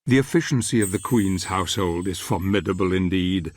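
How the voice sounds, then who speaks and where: An actor playing a solemn, ominous storyteller, narrating a dark fantasy video game in a grave, measured, theatrical way. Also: male voice, sits low, no room sound. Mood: grave, foreboding. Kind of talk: dramatic scripted game narration.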